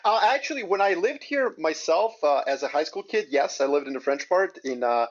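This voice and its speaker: A man from Serbia, a guest on an interview podcast, talking in an upbeat, animated storytelling way. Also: on the high side, brisk, highs cut off. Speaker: guest, investment strategist. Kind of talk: casual interview chat. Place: remote, in Switzerland.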